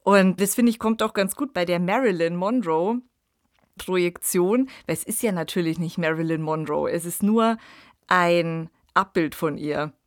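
A woman's voice: lowish voice, a little slow, no room sound, clean studio sound. A woman hosting a podcast, talking conversationally in a discussion. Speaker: podcast host.

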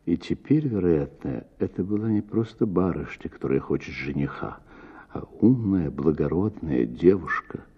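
A man reading a literary short story as an actor, with expressive, theatrical phrasing. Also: deep voice, normal speed, breathy edge, highs cut off. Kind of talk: expressive literary audiobook narration.

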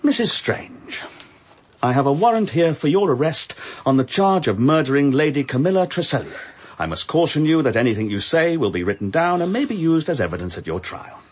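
An actor playing a formal, duty-bound police inspector in a radio drama. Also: male voice, a little high, sing-song, brisk, slightly rough. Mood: stern. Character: dutiful police inspector, stern and official. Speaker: Inspector Leach. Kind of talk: BBC radio drama acting.

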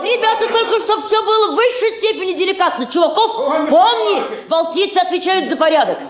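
A woman's voice: very high voice, animated, fairly quick, crowd noise. An actress playing an authoritative, ironic woman people's commissar in a Soviet stage drama. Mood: brisk, decisive. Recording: echoey theatre stage, music and audience behind.

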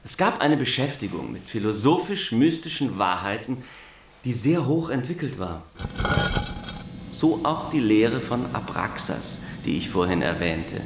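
An actor playing a learned classics teacher, lecturing in a formal, didactic way. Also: male voice, mid-range voice, very animated, a little slow. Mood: scholarly. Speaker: schoolteacher. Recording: echoey room, faint noise.

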